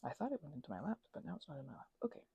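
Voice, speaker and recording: Very breathy, playful rambling woman. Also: deep voice, wildly swooping pitch, very fast, dry recording, muffled recording. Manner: scatterbrained, playful, self-interrupting, often dropping toward a whisper. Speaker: ASMR creator. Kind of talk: casual rambling ASMR craft chat.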